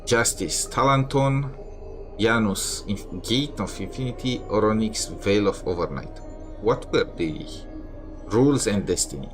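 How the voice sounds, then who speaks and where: A man's voice: fairly low pitch, animated, a little slow. A man giving casual gameplay commentary, reading bits aloud, with a dry, sardonic manner. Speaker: game streamer. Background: game music.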